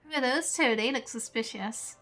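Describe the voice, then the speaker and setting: A woman's voice: on the high side, normal speed, over music. A woman livestreamer chatting casually in a giggly, conversational way as she reacts to a game.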